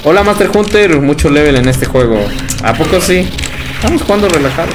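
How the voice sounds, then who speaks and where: A man's voice: fairly high pitch, expressive, a little fast. A young Mexican man with a Mexican Spanish accent, chatting casually with viewers during a livestream, laughing often and calling them brother. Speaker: game streamer. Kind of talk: casual livestream chat reading viewer comments. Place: indoor room at home. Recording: game music loud behind voice.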